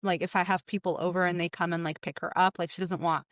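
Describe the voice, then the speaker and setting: A woman's voice: lowish voice, level tone, fast, no echo, clean studio sound. A young woman from Egypt, a podcast guest, talking casually and rambling, with heavy "like" fillers.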